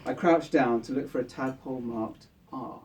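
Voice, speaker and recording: A man's voice: medium pitch, normal speed, darkish tone. A man reading his own literary short story aloud at a live event. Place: bookshop book launch event. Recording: distant mic in a live room.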